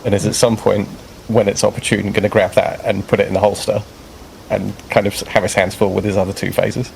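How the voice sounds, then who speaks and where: A man's voice: fairly low pitch, flat delivery, a little fast, vocal fry, slight background noise, poor audio. A man playing a tabletop game, explaining his character's actions in thoughtful, rambling turns. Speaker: player. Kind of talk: casual tabletop play.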